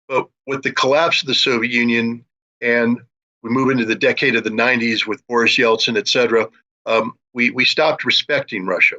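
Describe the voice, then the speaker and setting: An older or middle-aged American man discussing geopolitics in a deliberate, explanatory way, as an interviewer with military experience. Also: medium pitch, sharp tone, dull audio.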